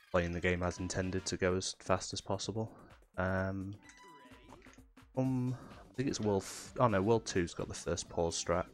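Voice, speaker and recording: A man with a very low voice talks through his speedrun in a relaxed, explanatory way, with plenty of ums along the way. He sounds nasal and stuffed up from a cold. Faint game music plays underneath. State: has a cold. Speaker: speedrunner commentating his own run. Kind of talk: casual technical commentary.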